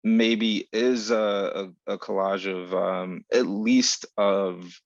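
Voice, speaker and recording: Low, gravelly, even-toned man. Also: no room sound. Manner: deliberate, halting, searching for precise words. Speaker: writer and panelist. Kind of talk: reflective panel talk about craft. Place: remote video call. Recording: dull video-call audio.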